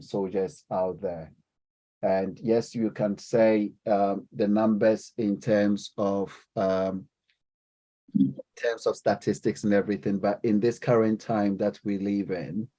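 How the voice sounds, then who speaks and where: A man's voice: fairly low pitch, dark tone. A man lecturing and leading a class discussion, hesitant, with many fillers and restarts.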